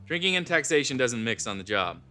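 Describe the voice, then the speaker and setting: A hard-boiled private eye, played by a man, with a bright, forceful voice at a middle pitch. He speaks in clipped, dry lines, and faint music plays under him. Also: big pitch swings, brisk. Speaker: lead detective character. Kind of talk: noir parody acting.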